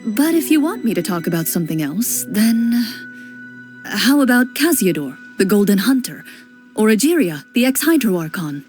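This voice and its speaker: Actress for a reserved young swordswoman in a game, mid pitch, calm and measured, clear music bed behind. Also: close to an everyday voice. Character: composed young female duelist, serious and reserved. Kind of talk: game cutscene dialogue.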